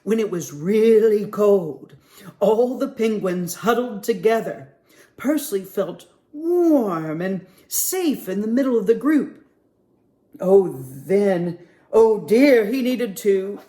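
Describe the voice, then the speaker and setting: Slow, expressive children's storyteller man. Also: sits high. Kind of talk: children's story time reading.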